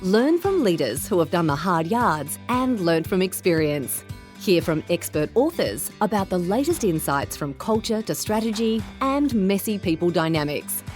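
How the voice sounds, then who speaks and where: A woman's voice: on the low side, very animated, normal speed, darkish tone, soft background music. A woman reading a scripted podcast intro in an upbeat, promotional way. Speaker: show announcer.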